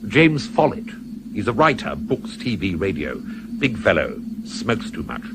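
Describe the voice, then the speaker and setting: An actor playing an urbane, old-fashioned Satan in a radio play, politely fussy and matter-of-fact about the uncanny. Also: male voice, lowish voice, wildly swooping pitch, a little slow, exaggerated voice. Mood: rueful, urbane. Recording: noisy 1970s radio drama recording.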